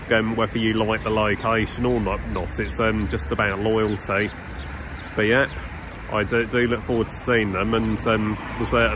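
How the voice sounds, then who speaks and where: A British man in his twenties chatting casually to a phone held at arm's length, speaking in a hesitant, halting way. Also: lowish voice, background noise. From Britain. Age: 20s. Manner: hesitant, halting, many pauses with ums. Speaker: vlogger. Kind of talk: casual vlog talk to camera. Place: on the move: street, shopping centre, station, small studio.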